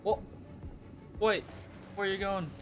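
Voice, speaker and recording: A man's voice: high-pitched, fairly even intonation, slow pace, soft background music. A man casually reacting live while streaming a video game.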